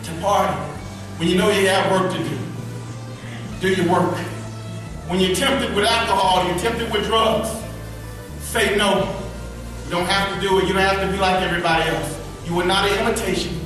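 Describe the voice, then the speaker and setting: A man's voice: high-pitched, fairly even intonation, normal speed. A man in his thirties from Alabama with a slight lisp, giving an earnest motivational speech to students and repeating his key phrases for emphasis. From Birmingham, Alabama. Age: 30s. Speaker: motivational speaker. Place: large echoey school event hall. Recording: echoey hall from far off, with music.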